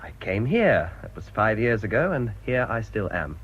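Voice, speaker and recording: An actor playing a bitter English village priest in a radio drama, with a dry, grumbling way of talking. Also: male voice, fairly low pitch, very animated, moderate pace. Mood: discontented. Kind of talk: British radio drama acting. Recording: dull, noisy old radio drama recording.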